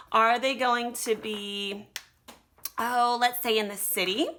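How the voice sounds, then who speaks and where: An American woman gives a casual drawing lesson for children. Her voice is bright and forward, pitched a little high. She speaks directly to her young pupils, encouraging them as she demonstrates each step. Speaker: art teacher giving a drawing lesson to children.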